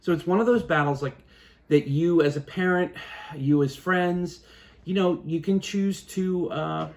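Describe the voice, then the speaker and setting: A man in his fifties, a father explaining things in a calm, casual chat at home, pausing and restarting as he thinks. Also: on the high side. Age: 50s. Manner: thoughtful, halting, many restarts and fillers. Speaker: parent.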